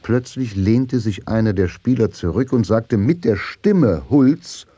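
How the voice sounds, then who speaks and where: Dark, slow, husky storyteller. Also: male voice, lowish voice, lively intonation, no room sound. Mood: suspenseful. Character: grave, measured male storyteller of a crime tale. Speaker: narrator. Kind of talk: scripted radio drama narration. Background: faint music.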